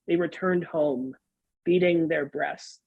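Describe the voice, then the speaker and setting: Slow, higher-pitched man reading scripture solemnly. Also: steady tone, creaky, phone-quality sound. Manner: measured, deliberate.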